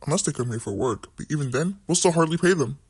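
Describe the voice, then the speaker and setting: A man's voice: a little high, expressive, fast, husky, no echo. A man voicing a greedy, scheming political leader puppet in an amateur puppet show, with theatrical mock enthusiasm. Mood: gleeful, greedy.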